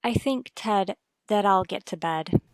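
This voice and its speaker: A woman's voice: medium pitch, unhurried, natural voice. A woman playing a troubled, guarded wife and mother in an old-time radio soap, restrained and evasive in manner. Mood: guarded, weary.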